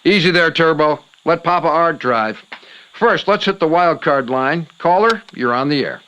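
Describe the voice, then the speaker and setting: An actor playing a folksy, theatrical late-night paranormal call-in radio host who talks in breezy slang. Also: male voice, on the high side, expressive, moderate pace, sharp tone, a little hiss or hum, low-quality recording. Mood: showy. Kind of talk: acted radio talk show.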